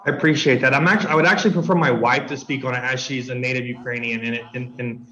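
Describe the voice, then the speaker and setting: A man's voice: medium pitch, steady tone, bright, forceful tone. Urgent male guest on a muffled line. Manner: emotional, urgent, halting. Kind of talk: radio interview.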